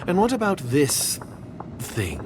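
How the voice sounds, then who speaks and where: Slow, condescending secret agent. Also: male voice, on the high side, wildly swooping pitch, very noisy, full character voice. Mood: suspicious. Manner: measured, formal, disdainful. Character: wary, condescending male foreign agent, secretive and calculating. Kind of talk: video game voice acting. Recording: music under the dialogue.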